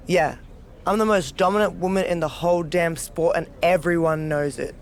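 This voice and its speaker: A woman's voice: fairly low pitch, normal speed, slight background noise, close to an everyday voice. A woman playing a cocky, dominant, sardonic wrestler who relishes chaos in a video game cutscene. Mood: mocking. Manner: sardonic, self-assured. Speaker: pro wrestler character.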